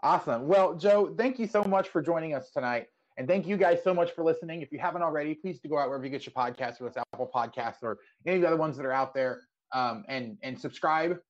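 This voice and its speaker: A man's voice: fairly high pitch, fast talker, hardly any pauses. A man hosting a sports podcast, talking casually in an interview. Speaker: podcast host. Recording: slightly dull, lo-fi audio.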